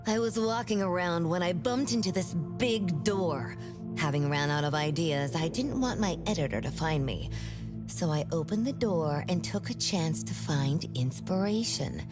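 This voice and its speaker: A woman voicing an excitable, self-mocking reclusive manga artist in a video game, with dramatic delivery. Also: fairly low pitch, moderate pace, close to an everyday voice. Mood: animated storytelling. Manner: dramatic, exclamatory. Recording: music behind the voice.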